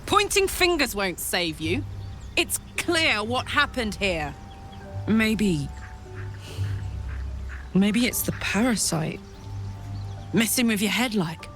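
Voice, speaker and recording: A woman playing a hot-blooded, brash but warm-hearted warrior in a video game, blunt and colloquial, with an English accent. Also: medium pitch, big pitch swings, slow pace, long pauses, soft background music. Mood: stern, troubled. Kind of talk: video game character acting.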